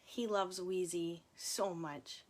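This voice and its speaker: A woman's voice: fairly low pitch, fairly even intonation, takes it slowly. A woman author reading and chatting casually to viewers, with informal, chatty asides. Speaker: author reading from her book. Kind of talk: casual livestream book reading and chat.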